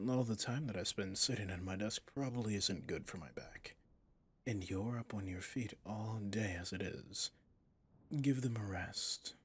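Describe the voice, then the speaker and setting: A man playing a possessive villain boss in an intimate ASMR roleplay, speaking formally and commandingly yet indulgently, in a husky, breathy voice. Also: lowish voice, unhurried. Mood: inviting, teasing. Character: smooth possessive male villain boss, doting on favorite servant.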